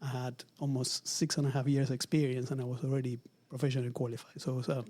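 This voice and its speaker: Man in his 40s, Argentine Spanish accent, mellow warm voice, measured and thoughtful. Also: mid-range voice, level tone. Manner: measured, thoughtful, frequent um fillers. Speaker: retail executive guest. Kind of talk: interview conversation. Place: office at company headquarters.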